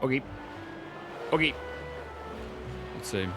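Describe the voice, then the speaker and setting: A man's voice: mid-range voice, expressive, slow pace, pushed and bright. A young man giving casual, slangy reactions as he streams a game, muttering asides. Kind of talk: casual gameplay commentary. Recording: game music under the voice. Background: video game music.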